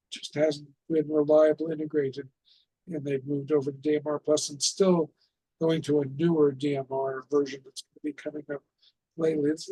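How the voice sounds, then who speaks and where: A man with a somewhat high, husky voice gives an informal talk as the host of an amateur radio net. His intonation stays quite flat, and he explains haltingly, often repeating himself as he goes. The sound is close and dry. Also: no echo, low-quality recording. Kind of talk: informal instructional presentation over a radio net.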